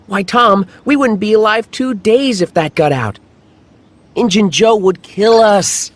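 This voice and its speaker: A woman voicing a wary, downcast young boy in a children's animated story. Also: mid-range voice, unhurried, lightly acted. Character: young boy, wary, sad, loyal friend.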